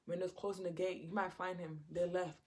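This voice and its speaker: A woman's voice: on the low side, level tone, a little fast. A woman giving an informal Bible teaching in a conversational way, repeating her phrases and acting out quotes. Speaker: preacher.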